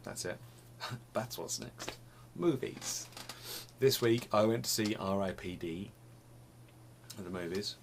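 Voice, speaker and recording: Deep-voiced rambling young man, sniffly. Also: takes it slowly, gravelly, a little hiss or hum. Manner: rambling, restarts phrases, laughs often. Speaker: vlogger. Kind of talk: casual chat to camera. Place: small home room with computer desk.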